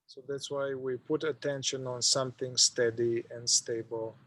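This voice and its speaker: A man leading a guided meditation, speaking in an unhurried, halting way with long pauses. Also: medium pitch, level tone, fairly bright tone, dry recording.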